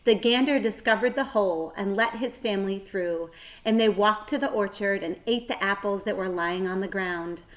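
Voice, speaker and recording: A woman reading a children's story aloud like a teacher, with a careful, expressive delivery. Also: medium pitch.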